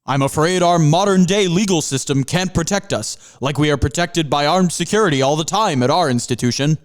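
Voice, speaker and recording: A man's voice: fairly high pitch, a little fast, lightly acted. A man voicing an enthusiastic male panther mascot who rallies his students. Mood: rallying. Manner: rallying, enthusiastic.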